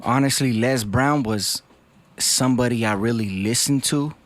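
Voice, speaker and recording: A man's voice: medium pitch, moderate pace. A man from West Covina, California, guesting as a rapper in an interview. He talks in an earnest, reflective way and keeps dropping in "bro" and "dog". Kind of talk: candid interview talk.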